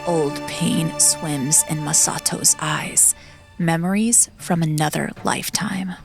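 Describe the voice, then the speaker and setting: A woman narrating a dramatic audiobook story in a hushed, solemn storytelling manner. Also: sits low, steady tone, takes it slowly, vocal fry, over music.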